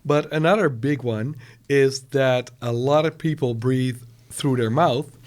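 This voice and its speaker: A man's voice: mid-range voice, measured pace, darkish tone. A man co-hosting a casual podcast, eagerly explaining things and often repeating his words as he goes. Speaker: podcast co-host. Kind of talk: casual chat.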